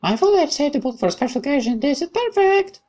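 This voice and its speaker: Guy doing a girly high voice, mischievous young woman from a war game, playful n teasing. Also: big pitch swings, fast, a little mellow. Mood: playful, cheerful.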